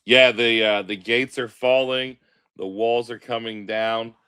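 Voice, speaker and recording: A man hosting a casual sports podcast, sounding stuffy from a cold, chatting in a relaxed way. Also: medium pitch, unhurried, clear, resonant tone. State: stuffy, congested. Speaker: podcast host.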